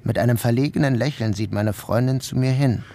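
A man's voice: medium pitch, brisk, vocal fry, background noise, lightly acted. A man acting a troubled, bewildered son who narrates in a literary first-person radio drama. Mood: dreamlike, brooding. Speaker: narrator and protagonist.

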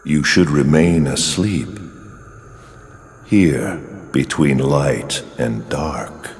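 A disembodied video game presence speaks from a cavernous reverb with music behind it. The voice is extremely deep and male, solemn and ominous, with slow, measured, cryptic phrasing. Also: a little mellow, heavily acted. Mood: solemn, foreboding. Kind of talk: English video game cutscene voice acting.

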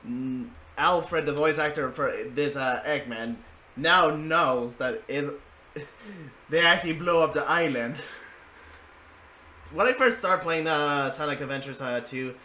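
A man hosting a casual reaction video. His fairly high voice moves up and down in lively swings, yet he talks slowly and haltingly, with slight background noise.

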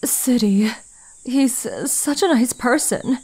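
A woman playing a guarded, gentle, melancholy young woman in an anime dub, soft-spoken and hesitant. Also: on the high side, slow, husky. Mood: wistful. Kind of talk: anime manga dub acting and narration.

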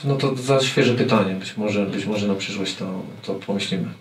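A man in his forties, a football coach answering press questions after a match, speaking haltingly with repeated words and fillers. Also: on the low side. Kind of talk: post-match press conference. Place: press room with sponsor wall. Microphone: table mic on stand. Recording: echoey room, mic at a distance, faint noise.

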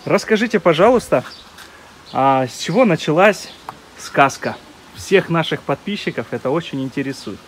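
A man's voice: fairly high pitch, animated, normal speed, slight background noise. A man hosting an on-location interview for a channel, speaking outdoors from behind the camera. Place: outdoors at a park, street-side.

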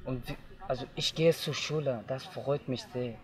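A young man from Afghanistan, telling his own story outdoors in simple, halting learner's German. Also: mid-range voice, fairly bright tone. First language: not German. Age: young adult. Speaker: interviewee. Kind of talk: personal testimony. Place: outdoors in tall grass.

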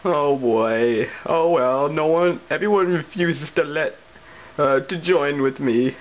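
A performer voicing a timid, dejected cartoon video game character in a halting, downcast way. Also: mid-range voice, close to an everyday voice. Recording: slightly noisy homemade recording.

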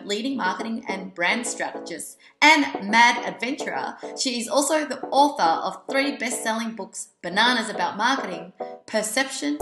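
A woman's voice: fairly high pitch, reverberant. A woman in her thirties who grew up partly in Vietnam, hosting a podcast with a read intro and a bubbly, laughing chat. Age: 30s. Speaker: podcast host. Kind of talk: scripted intro then casual chat.

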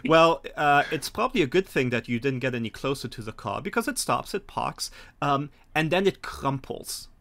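Bright-voiced middle-aged man running a game. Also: medium pitch, expressive, normal speed, vocal fry. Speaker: game master. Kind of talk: tabletop game narration, conversational. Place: home room, indoors, on a video call.

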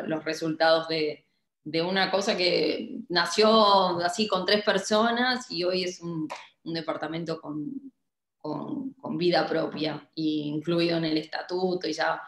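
Young woman, argentine accent, video call interview, lowish voice, roomy echo. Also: fairly bright tone. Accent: Argentine Spanish. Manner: hesitant, many fillers and restarts. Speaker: club director, guest. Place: home office, indoors. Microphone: laptop webcam mic on a video call.

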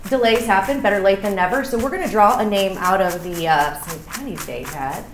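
A woman's voice: medium pitch, brisk, crowd noise. A woman in her thirties hosting a casual promotional chat, talking in a chatty, enthusiastic way. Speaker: show host. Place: small bar room, indoors. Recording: roomy echo, some room noise.

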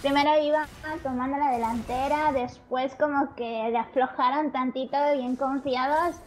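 A woman's voice: high voice, steady tone, moderate pace, slight background noise. A Mexican woman with a Mexican Spanish accent, co-hosting a live stream and reading the chat in a giggly, playful way. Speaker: co-host.

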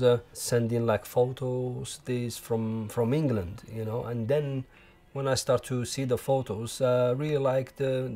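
Algerian man recalling, halting speech. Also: mid-range voice, a little mellow. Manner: halting, many restarts and fillers. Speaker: interviewee. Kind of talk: documentary interview. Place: living room at home. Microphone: lapel.

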